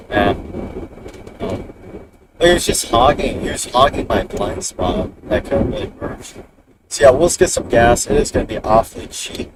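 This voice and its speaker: Man rambling while driving, road noise. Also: mid-range voice. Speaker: storm chaser streaming live. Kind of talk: casual driving commentary. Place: inside a car on the road. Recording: distant in-car mic with road noise.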